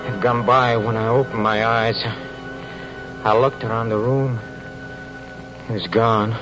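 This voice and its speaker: An actor playing a haunted, desperate stage magician, telling his tale haltingly with repeated words in an overwrought old-time radio style. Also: medium pitch, natural voice. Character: haunted, desperate male stage magician recounting a terrifying ordeal. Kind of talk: old-time radio drama acting, tormented first-person narration. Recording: muffled, noisy old radio recording.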